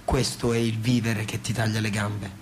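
A man's voice: lowish voice, level tone, normal speed, a little hiss or hum, lightly acted. A man acting in radio theatre as a dreamy, boastful working-class poet. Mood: weary, reflective.

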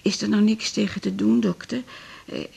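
A woman's voice: medium pitch, big pitch swings, moderate pace, creaky, warm tone, husky, exaggerated voice. An actress playing a lonely, fretful female hospital patient in a radio drama, plaintive, hesitant and chatty. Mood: fretful, complaining. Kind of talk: 1980s Dutch radio drama acting.

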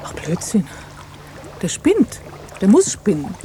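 Lively, mellow inquisitive woman. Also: medium pitch, takes it slowly, a little hiss or hum. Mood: puzzled, dismissive. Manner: questioning, teasing, expressive. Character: inquisitive woman, spirited old flame of a journalist. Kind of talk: radio play acting.

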